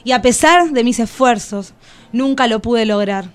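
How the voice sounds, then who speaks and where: A woman's voice: fairly high pitch, a little slow, clean tone, close to an everyday voice. An actress playing a wounded, bitter and defiant enslaved everywoman, reciting emphatically in poetic radio theatre. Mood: anguished, emphatic. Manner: solemn, emphatic poetic listing.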